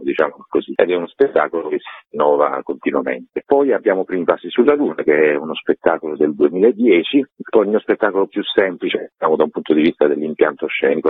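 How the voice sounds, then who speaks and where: A middle-aged man with a very deep, husky voice talks through a radio interview. He is reflective and wordy, full of fillers. The line is thin and phone-like. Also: dry recording. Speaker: theatre author and actor, guest.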